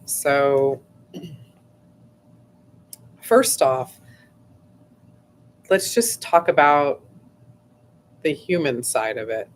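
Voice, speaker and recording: A woman hosting a casual livestream, her voice going hoarse, rambling chattily with lots of fillers and frequent throat clearing. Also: rumbling low, expressive, very slow, pauses often. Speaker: host.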